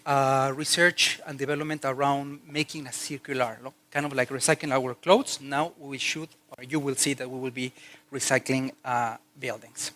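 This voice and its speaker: A man's voice: a little high, a bit bright. A man from Mexico, a native Spanish speaker with a Mexican Spanish accent, giving a business talk at a conference in a chatty way, with fillers and restarts. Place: conference hall.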